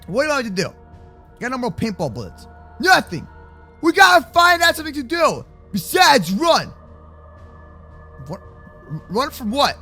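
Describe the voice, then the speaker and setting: A young adult man improvising kids' Minecraft roleplay commentary in an excitable, slangy way, often repeating his words. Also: extremely high pitch, moderate pace, long pauses, faint music behind. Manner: slangy, addresses partner as bro and man. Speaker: gaming video host and roleplayer.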